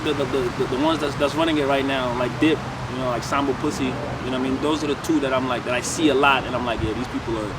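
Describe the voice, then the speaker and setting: A man from Senegal, a rapper, chatting casually and animatedly in an interview, with lots of fillers. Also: mid-range voice. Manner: fast, animated, loose with many fillers. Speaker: rapper guest. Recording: outdoors with loud traffic noise.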